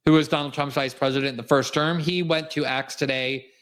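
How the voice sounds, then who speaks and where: A man's voice: medium pitch, fairly even intonation, brisk, fairly bright tone, smooth. A man from South Florida hosting a political commentary show, delivering an emphatic, indignant, cutting monologue. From South Florida, USA. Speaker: host.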